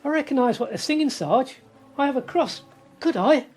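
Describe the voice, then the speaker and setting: A woman's voice: high-pitched, animated, darkish tone, slightly rough, soft background music. A woman playing an earnest, frightened young male First World War soldier in a radio drama. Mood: pleading. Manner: halting, earnest confiding. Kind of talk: radio drama monologue and dialogue. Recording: noisy ambience behind the voice.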